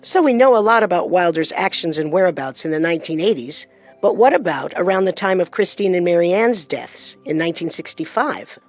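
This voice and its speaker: A woman co-hosting and narrating a scripted true-crime show with measured, dramatic storytelling. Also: on the low side, expressive. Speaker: podcast co-host and narrator.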